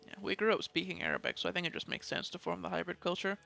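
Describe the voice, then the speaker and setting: A man's voice: sits high, sing-song, racing, gravelly, bright, forceful tone, faint music behind. A man giving casual let's play commentary, thinking aloud and reading bits of game text, with some mild swearing.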